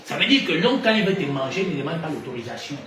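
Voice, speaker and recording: Gabonese french man, preacher, fairly high voice, echoey hall, off-mic, noisy. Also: very animated, racing, distant mic. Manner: rhetorical questions, swings between loud and hushed. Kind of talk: sermon.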